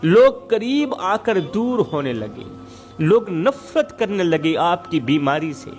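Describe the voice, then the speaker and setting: A man's voice: high-pitched, sing-song, slow pace, a little mellow. A man preaching in an emotive religious storytelling style, dramatic and rhetorical, repeating phrases for emphasis. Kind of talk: emotive Urdu religious sermon with moral storytelling. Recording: background music under the voice. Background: music.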